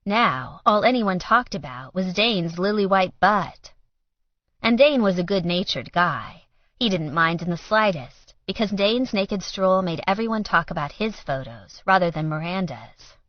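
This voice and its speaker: A woman with a fairly low voice narrates an audiobook story. The recording is very dry and a little dull at the top, with scripted prose read aloud. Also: expressive, a little slow, no echo.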